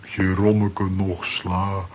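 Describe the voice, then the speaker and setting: Deep, blunt, simple strongman. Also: slow pace, slight background noise. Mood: deadpan. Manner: clipped telegraphic speech, deadpan. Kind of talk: children's radio play acting.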